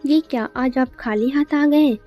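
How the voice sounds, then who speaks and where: A woman's voice: sits high, unhurried, dark tone, soft background music. A woman voicing a greedy, nagging village wife in a cartoon moral story, scolding and demanding.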